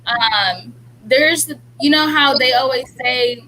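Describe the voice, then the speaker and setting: Bright, hesitant young woman. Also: high voice, takes it slowly, poor audio. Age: 20s. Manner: hesitant, full of fillers and restarts. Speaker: viewing-party panelist. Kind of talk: casual group chat.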